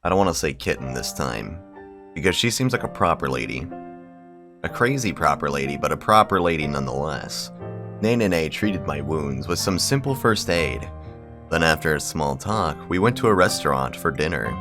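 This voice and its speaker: A man's voice: a bass voice, animated, moderate pace, music in the background. A man hosting a game video, reading a visual novel aloud and adding slangy, profane bro-style commentary. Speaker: gaming video host.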